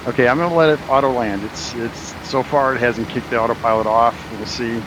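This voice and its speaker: An older man with a very deep voice, chatting casually and joking about himself while flying in a sim. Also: brisk, background noise. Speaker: flight sim pilot. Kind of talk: casual chat on voice channel.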